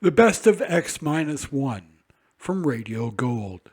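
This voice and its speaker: A man's voice: medium pitch, big pitch swings, takes it slowly, darkish tone, slightly rough. A man reading scripted promotional narration.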